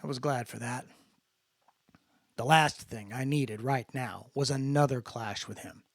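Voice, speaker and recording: A man's voice: medium pitch, unhurried, dry recording, clean studio sound. A man talking and reading fiction aloud in a dramatic storytelling style. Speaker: narrator reading own book aloud. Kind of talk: casual introduction, then dramatic audiobook-style reading.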